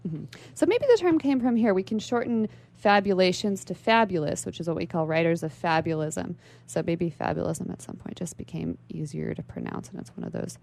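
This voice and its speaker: A woman's voice: lowish voice, a little fast, vocal fry, a little mellow. A woman speaking as an interview guest in a literary radio discussion, articulate and bookish.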